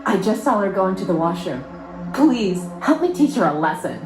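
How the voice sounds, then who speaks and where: A woman's voice: medium pitch, big pitch swings, moderate pace, natural voice. A woman voicing a shy but sly young teenage girl in dramatized story dialogue. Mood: pleading, scheming. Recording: echoey room, music behind.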